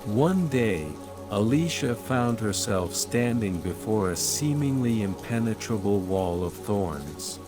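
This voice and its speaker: A man narrating a scripted bedtime fairytale in a measured, soothing manner. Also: on the low side. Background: rain and calm music.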